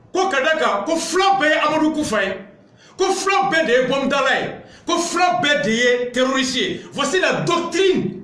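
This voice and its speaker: Man, Malian accent, high-pitched voice, fiery political commentator, repetitive emphatic delivery, echoey room. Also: fairly bright tone. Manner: emphatic, indignant, repetitive rhetorical build-ups. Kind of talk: impassioned political monologue mixed with reading aloud.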